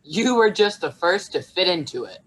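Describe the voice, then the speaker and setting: A woman's voice: fairly low pitch, very animated, normal speed, breathy edge, poor audio. A young woman playing a wry, sceptical fairytale character in a comic stage play. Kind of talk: comic stage acting over video call.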